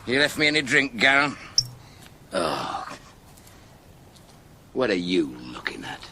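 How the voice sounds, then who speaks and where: An actor playing a surly, threatening outlaw guard with a gravelly drawl in a radio drama. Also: male voice, mid-range voice, sing-song, slow, bright, forceful tone, slight background noise, heavily acted. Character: surly, menacing male outlaw guard who likes drink.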